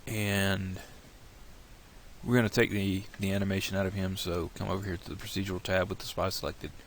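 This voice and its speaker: A very low, gravelly male voice, a little nasal from a cold, talking through each step of a software tutorial. He rambles and restarts as he thinks aloud. A faint hiss of noise sits under the desktop mic. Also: level tone, fairly quick. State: has a cold, slightly nasal. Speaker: tutorial creator.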